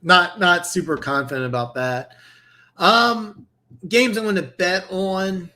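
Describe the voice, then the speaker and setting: A man's voice: high voice, slow, bright, forceful tone. A man hosting a live football picks stream in a casual, rambling way, losing his voice. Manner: rambling, many restarts and fillers. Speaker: host of a football picks show.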